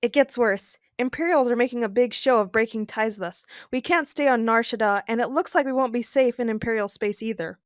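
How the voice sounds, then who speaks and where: Loyal young sci-fi tech sidekick , female actor: higher pitch, earnest, straight-talking audio drama delivery, dry sound. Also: a little fast, hardly any pauses, dry recording, natural voice. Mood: worried.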